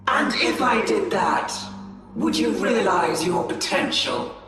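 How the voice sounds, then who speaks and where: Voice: mid-range voice, sing-song, slow. A performer voicing a ruthless ancient elf warrior lord, cold and commanding. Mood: stern. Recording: echoey hall, from far off, loud music.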